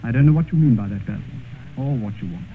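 A man's voice: medium pitch, lively intonation, fast, natural voice. Male actor as callous young aristocrat, coolly haughty, theatrical vintage radio drama, narrow muffled sound with faint hiss. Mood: guarded. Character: vain, callous, beautiful young aristocratic man, secretly anxious. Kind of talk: 1940s-50s radio drama acting.

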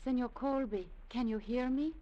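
An actress playing a gentle, earnest young servant woman, speaking softly and quietly pleading in a 1950s radio drama. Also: fairly high pitch, moderate pace, muffled recording, natural voice.

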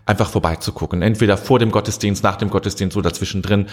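A man's voice: fairly low pitch, fairly even intonation, fast talker. A man hosting short interviews for a community outlet, talking in a conversational way with fillers and restarts. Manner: conversational, with fillers and self-corrections. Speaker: community media host. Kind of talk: casual report and interview.

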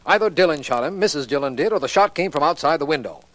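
An actor playing an earnest, brisk district attorney in a 1940s radio crime drama, with formal delivery. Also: male voice, a little high, animated, fairly bright tone, dry recording. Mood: puzzled, businesslike.

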